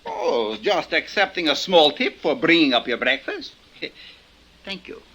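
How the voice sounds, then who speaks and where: An actor playing a greedy, pompous Central American hustler and guide in old-time radio style, using grandiose mangled words and fussy hesitations. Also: male voice, a little high, big pitch swings, measured pace, bright, forceful tone, soft background music, heavily acted. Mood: eager, conniving. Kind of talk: old-time radio comedy-mystery acting.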